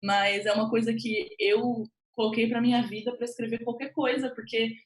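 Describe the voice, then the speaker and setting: A woman's voice: fairly high pitch, flat delivery, normal speed. A woman with a Brazilian accent speaking casually as a writer on a panel, in an echoey recording. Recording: echoey room sound.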